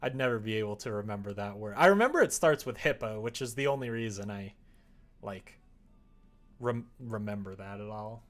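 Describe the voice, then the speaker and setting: A man chatting casually on a live stream, talking half to himself as he works, sometimes louder. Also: fairly low pitch, lively intonation, fairly quick, faint music behind. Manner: mutters to himself while working, with sudden louder bursts. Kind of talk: casual stream chat while working. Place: indoors at a computer desk, keyboard typing behind.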